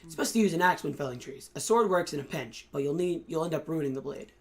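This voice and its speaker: A hoarse young man voicing an old woodcutter by reading his game dialogue aloud in a plain, everyday voice. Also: a little high, expressive, quick pace, natural voice. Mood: explaining.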